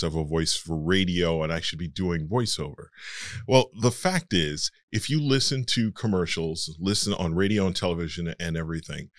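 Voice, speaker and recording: A man's voice: low-pitched, no room sound, studio quality. A male voice actor being interviewed, explaining his trade in a frank, matter-of-fact conversational way. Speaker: voice actor guest.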